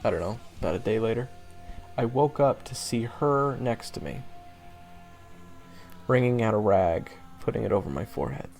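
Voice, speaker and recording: A man playing a jaded, sardonic monster hunter in his early twenties, telling his story in a casual, wry, halting way for an audio roleplay. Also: mid-range voice, expressive, vocal fry, darkish tone, faint music behind. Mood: reflective. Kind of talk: audio roleplay, conversational first-person storytelling.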